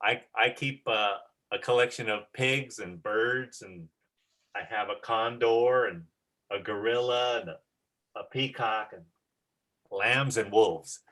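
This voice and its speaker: A woman's voice: extremely low pitch, takes it slowly, a bit bright. A woman hosting a group session over a video call, talking casually as a teacher. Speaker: host and teacher of an online children's drama session.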